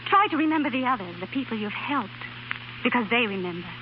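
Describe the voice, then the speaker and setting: A woman's voice: fairly high pitch, animated, fast, natural voice. A woman acting a plucky, devoted young sweetheart in a 1930s radio drama, folksy, affectionate and plain-spoken. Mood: consoling. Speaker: radio actress. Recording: muffled, hissy 1930s radio broadcast.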